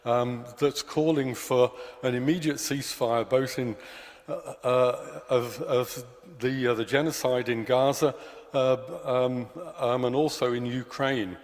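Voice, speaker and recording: Halting male candidate in a church hall. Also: medium pitch, fairly even intonation. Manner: earnest, halting, many fillers and restarts. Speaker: election candidate. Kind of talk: hustings speech. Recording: long church hall reverb.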